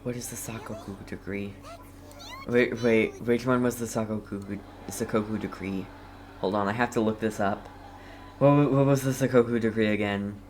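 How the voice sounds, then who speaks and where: A woman's voice: rumbling low, steady tone, normal speed, darkish tone. A woman streaming a game with casual commentary, muttering to herself and reacting briefly, with background noise.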